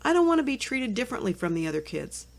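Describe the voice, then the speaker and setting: A woman voicing a kind, fair-minded young schoolgirl in a storybook, earnestly explaining in a gently serious way. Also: on the high side, sing-song, fast, vocal fry, pitched above the performer's own voice, exaggerated voice.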